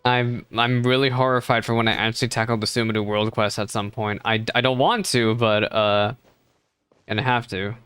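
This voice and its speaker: A man giving casual live commentary on a video game, chatty and expressive, with sudden excited outbursts. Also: medium pitch, moderate pace, smooth, no echo. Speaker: streamer reacting to a game.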